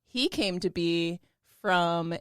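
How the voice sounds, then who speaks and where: A woman's voice: on the low side, slow pace, smooth, no echo, clean studio sound. A woman co-hosting a podcast, chatting casually and telling a story with frequent laughs. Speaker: podcast co-host.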